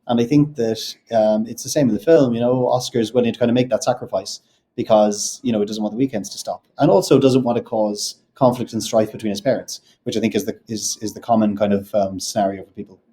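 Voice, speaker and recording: A man with a neutral Irish accent, a film director answering interview questions in a thoughtful, measured way that often circles back to rephrase. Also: on the low side, fairly even intonation, dark tone. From Ireland.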